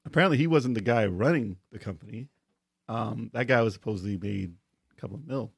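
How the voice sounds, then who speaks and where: A man's voice: mid-range voice, brisk, vocal fry, husky, dry recording, studio quality. A man co-hosting a video game podcast, reading out news stories and laughing often between lines. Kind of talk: casual podcast chat.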